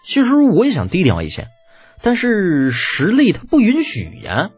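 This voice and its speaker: A man's voice: high-pitched, wildly swooping pitch, normal speed, breathy edge, dry recording, pitched above the performer's own voice, full character voice. A man voicing a cocky, glib young schemer in an audiobook, with a smug, persuasive manner. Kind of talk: audiobook character acting.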